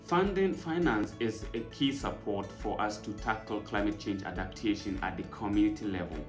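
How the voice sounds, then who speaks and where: A man's voice: lowish voice, normal speed, echoey room, over music. A man from northern Ghana, explaining his motivation steadily in a testimonial. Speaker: programs manager. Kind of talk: scripted testimonial.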